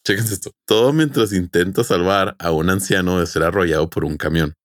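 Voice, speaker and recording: Mexican guy hosting a podcast, low voice, jokey storytelling, dry close sound. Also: fairly quick, no echo. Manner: jokey, colloquial. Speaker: podcast host. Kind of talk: casual podcast storytelling with friends.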